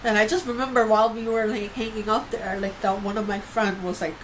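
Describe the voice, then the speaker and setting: A woman's voice: medium pitch, steady tone, a little fast. A young woman who grew up in Korea and New York, chatting casually to camera in a vlog, with lots of fillers and restarts. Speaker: YouTuber. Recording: noisy home recording.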